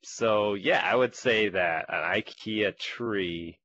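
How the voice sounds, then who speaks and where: A man's voice: lowish voice, slow pace, fairly bright tone. A man co-hosting a podcast, chatting casually and joking in a laid-back way. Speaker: podcast co-host. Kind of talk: casual chat. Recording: dull lo-fi sound with faint noise.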